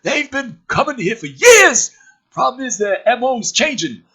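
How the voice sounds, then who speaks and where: A man's voice: very high-pitched, very animated, measured pace, pushed and bright, slightly rough, voice pushed up, exaggerated voice. A man voicing a smug, chatty, foul-mouthed government operative in a mocking script read, with flippant, exaggerated emphasis.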